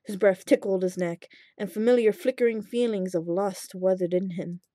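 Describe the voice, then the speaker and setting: A woman reading fan fiction aloud as an expressive scripted narration. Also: mid-range voice, moderate pace, dry recording, studio quality.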